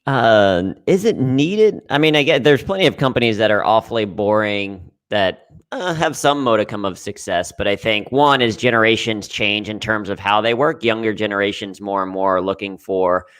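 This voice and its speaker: Smooth low-voiced man telling lively stories. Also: moderate pace. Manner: animated storyteller, playful and emphatic. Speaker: panelist. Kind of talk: panel discussion over video call.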